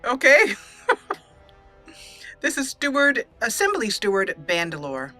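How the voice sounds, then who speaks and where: A woman's voice: on the high side, huge pitch swings, slow pace, sharp tone, soft background music. A woman giving chatty, giggly let's play roleplay commentary, slipping into reading lines in character. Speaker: gaming channel host.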